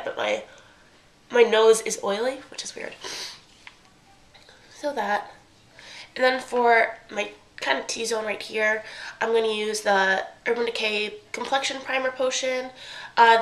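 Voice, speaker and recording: A woman's voice: fairly high pitch, fairly bright tone. A young woman with a stuffy, congested voice, chatting casually through a makeup tutorial and often correcting herself mid-sentence. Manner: chatty, rambling, self-correcting. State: congested from a cold. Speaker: beauty vlogger.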